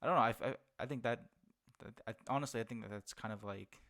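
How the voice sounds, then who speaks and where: A man's voice: fairly low pitch, fast, vocal fry, fairly bright tone. A man hosting a casual interview podcast, asking questions hesitantly and often searching for the right words. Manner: hesitant, many fillers and restarts, searching for words. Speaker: podcast host.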